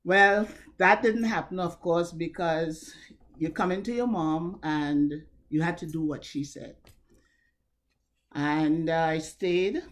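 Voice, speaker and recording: A woman in her seventies with a Trinidadian accent, telling a personal story in a measured, reflective way with wry asides over a video call. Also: sits low, steady tone.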